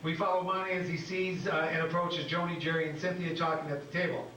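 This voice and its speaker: A man's voice: a little high, little pitch movement, quick pace, bright, forceful tone. A man narrating scripted stage directions in a plain, matter-of-fact way. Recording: echoey room, distant, faint noise.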